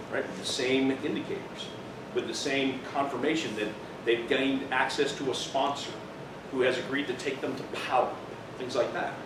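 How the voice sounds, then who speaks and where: Man presenting in a very echoey room. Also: mid-range voice. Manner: emphatic, explanatory, rhetorical questions. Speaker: business trainer, presenter. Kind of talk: live seminar presentation. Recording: roomy, distant mic, clearly noisy.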